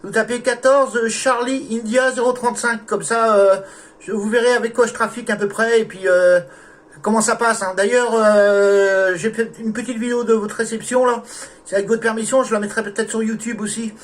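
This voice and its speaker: A man's voice: high-pitched, fairly even intonation, normal speed. A man from France chatting casually as an amateur radio operator, with courteous sign-offs. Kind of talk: casual radio contact chat.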